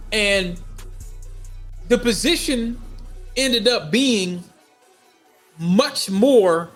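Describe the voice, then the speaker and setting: Middle-aged man, high-pitched voice, casual storytelling, animated delivery, repeated phrases, faint background music. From United States, Minnesota. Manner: animated retelling with acted-out quotes, repeating phrases for emphasis. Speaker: storyteller vlogger.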